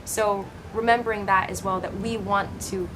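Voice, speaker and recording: A woman in her twenties from Hawaii, an actress answering interview questions in an earnest, expressive way with frequent restarts. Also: mid-range voice, moderate pace, noisy background. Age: 20s.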